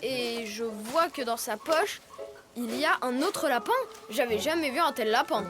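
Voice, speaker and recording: A woman voicing an excitable, naive young animal cub with emphatic childlike speech in a dubbed children's cartoon over music. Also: fairly high pitch, unhurried, natural voice. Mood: puzzled, naive. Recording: music under the voice.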